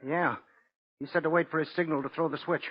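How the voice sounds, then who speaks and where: An actor playing a hesitant new American prison guard with a husky voice in an old radio drama. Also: male voice, fairly high pitch, steady tone, close to an everyday voice. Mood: uneasy. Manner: halting, hesitant. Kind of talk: 1940s radio drama acting. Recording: muffled old radio recording.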